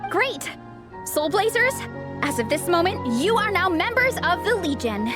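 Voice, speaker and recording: A woman playing an excitable, earnest young club captain and hero fangirl in an anime game, with a theatrical, exuberant delivery. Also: extremely high pitch, a little slow, full character voice. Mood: proud, excited. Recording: music behind the voice.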